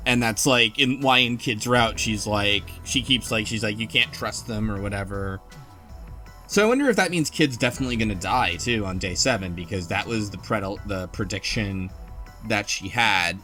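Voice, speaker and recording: A man's voice: lowish voice, normal speed, sharp tone, soft background music. A man talking casually in a book club discussion, speculating and thinking aloud as he goes. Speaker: podcast host.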